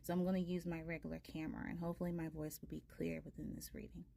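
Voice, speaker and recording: Soft-spoken woman, chatty, lowish voice. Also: level tone, fast talker, creaky. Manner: rambling, many fillers. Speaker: tarot reader, channel host. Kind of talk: casual chatty talk to viewers.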